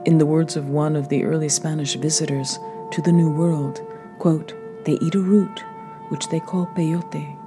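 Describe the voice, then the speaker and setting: Very low-voiced female documentary narrator. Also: fairly even intonation, normal speed. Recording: music bed behind.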